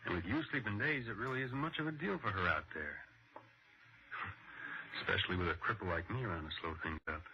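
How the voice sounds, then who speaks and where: An actor playing a gentle, wry, self-deprecating kid brother in a 1960s radio drama. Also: male voice, on the low side, expressive, fairly quick, long pauses, lightly acted. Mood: wry, reflective. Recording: dull old radio broadcast recording.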